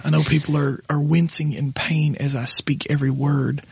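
A middle-aged pastor, hoarse and raspy tonight, talking haltingly with his wife on their podcast. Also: a little high, level tone, dry recording. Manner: halting, restarts often when moved. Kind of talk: casual conversation with his wife.

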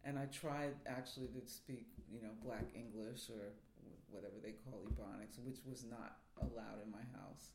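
A man's voice: mid-range voice, steady tone, moderate pace, creaky, few pauses, a little mellow, slight background noise. A man from New York City, a theater professor, speaking thoughtfully as an interview guest. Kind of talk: reflective interview talk. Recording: roomy echo.

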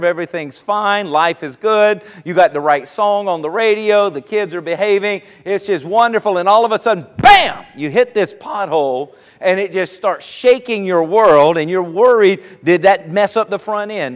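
A man preaching as a pastor, telling a personal story in a relaxed, conversational way before slowing down to stress his main points. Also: sits high, in a large hall. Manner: storytelling, conversational, slows for emphasis.

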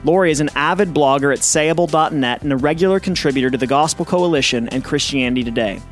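A man's voice: a little high, soft background music. A male pastor hosting a podcast, reading a scripted intro and then asking easygoing interview questions. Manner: friendly, measured questions with fillers.

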